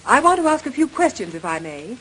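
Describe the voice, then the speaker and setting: A capable young lady sleuth of vintage radio drama. Her voice is highish and very mobile, with crisp, inquisitive phrasing. The old transcription carries a light layer of noise. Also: sing-song, a little fast, a bit bright, low-quality recording. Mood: composed, inquisitive. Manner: crisp, polite, commanding questioning. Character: brisk, capable young female amateur detective, composed and inquisitive. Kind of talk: 1930s radio mystery acting.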